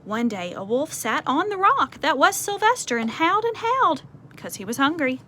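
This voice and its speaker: High-voiced woman reading a picture book. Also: moderate pace. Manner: animated, explanatory, conversational asides. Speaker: storyteller reading to children. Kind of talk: expressive picture-book read-aloud with asides to children. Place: outdoors on a porch. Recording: faint outdoor ambient noise.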